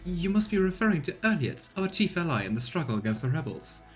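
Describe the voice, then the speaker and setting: A man's voice: fairly high pitch, sing-song, fast talker, faint music behind, voice pushed up. A man voicing an anxious, haughty noblewoman governor in a formal, obsequious, theatrical manner for a video game. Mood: deferential, disdainful.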